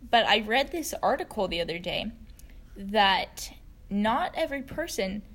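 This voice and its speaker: A woman's voice: medium pitch, sing-song, measured pace, a bit bright. A woman with a Virginia accent co-hosting a podcast in easygoing, casual chat, laughing often. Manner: giggly, easygoing. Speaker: podcast co-host.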